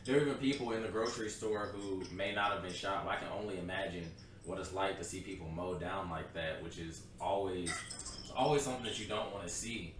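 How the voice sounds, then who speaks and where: Young man, low voice, blunt opinions, casual podcast co-host; sits back from the mic in a very echoey room with faint hiss. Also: fast talker, fairly bright tone, recorded from a distance. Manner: opinionated, blunt, laughs easily. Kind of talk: casual chat.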